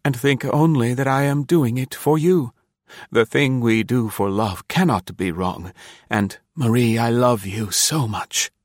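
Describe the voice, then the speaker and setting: Male spy lover in an old melodrama, acted by a male narrator, raised pitch, dogged, imploring, self-justifying. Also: measured pace, no room sound, clean studio sound. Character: ardent, defensive male spy pleading with his lover.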